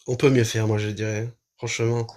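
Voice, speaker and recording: A man's voice: medium pitch, flat delivery, fairly quick, a little mellow. A man reacting on a live stream, impassioned and swearing, hesitating often with fillers.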